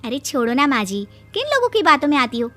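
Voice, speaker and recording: A woman's voice: high-pitched, very animated, quick pace, a bit bright, faint music behind. A woman voicing a cheeky, outspoken, protesting young woman in a cartoon story.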